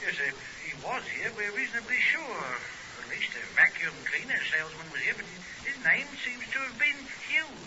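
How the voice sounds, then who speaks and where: An actor playing a flustered, deferential provincial police sergeant, hesitant and over-polite, in a 1950s radio drama. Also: male voice, sits high, big pitch swings, normal speed, few pauses, crowd noise. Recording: muffled, hissy 1950s radio recording.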